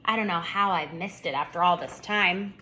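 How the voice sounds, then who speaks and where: A woman voicing an excitable, curious young schoolgirl in a storybook, eager and earnest. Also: on the low side, moderate pace, rough recording. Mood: admiring. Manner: eager, wide-eyed, earnest.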